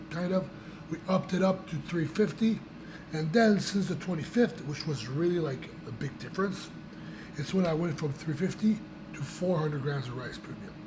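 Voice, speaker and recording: A young man with a voice gone raspy from a cold, chatting casually to his viewers in a rambling way with many restarts and fillers. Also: on the high side, background noise. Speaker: vlogger. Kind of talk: casual chat to camera.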